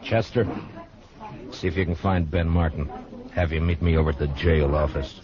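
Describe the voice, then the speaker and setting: An actor playing a steady, authoritative frontier marshal, firm and plain-spoken, in 1950s radio style. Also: male voice, rumbling low, moderate pace, crowd noise. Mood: businesslike. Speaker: lead actor in a western radio drama. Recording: muffled old radio recording, music.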